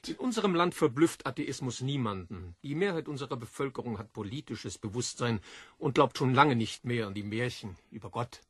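A man's voice: medium pitch, expressive, brisk, slightly rough, dry recording, studio quality. A man playing a self-assured, learned literary editor in a radio play, lecturing in a didactic, pompous manner. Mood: smugly certain.

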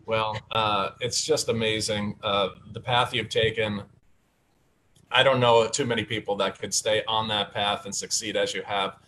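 A man's voice: on the low side, level tone, normal speed, sharp tone, a little hiss or hum, low-quality recording. A man hosting a casual video call talk show from a home study with bookshelves, upbeat and chatty with plenty of fillers. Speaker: host and candidate. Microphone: video call webcam.

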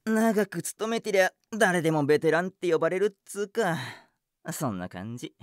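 A man's voice: high-pitched, animated, moderate pace, dry recording, studio quality. An actor playing a cocky, flirtatious male voice actor with breezy, slangy talk in a voice drama. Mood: dismissive. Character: swaggering, flirtatious male voice actor, unhappily married. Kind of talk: voice drama acting.

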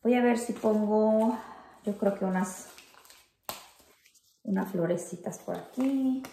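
Home-decor vlogger, woman, relaxed and chatty, lots of little fillers, slight fry in the voice. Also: mid-range voice, slow pace, a little hiss or hum. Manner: chatty, full of diminutives and fillers. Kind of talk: casual how-to chat. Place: at home indoors.

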